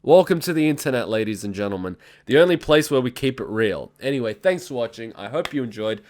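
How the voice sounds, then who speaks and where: A man's voice: mid-range voice, animated, fast, a little mellow. Brief: male, twenties, hosts a reaction video with a blunt, profane ranting delivery. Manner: ranting, blunt, heavy swearing. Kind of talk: casual reaction commentary.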